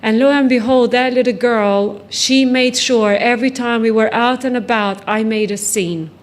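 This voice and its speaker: Higher-voiced woman giving personal testimony. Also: moderate pace. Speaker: guest speaker, trafficking survivor and author. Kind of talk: personal testimony told to a conference audience.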